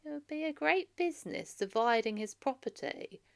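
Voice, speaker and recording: A woman's voice: high voice, lively intonation, moderate pace, creaky, pitched above the performer's own voice. A woman voicing arrogant male suitors, scheming villains who mock in a storybook reading.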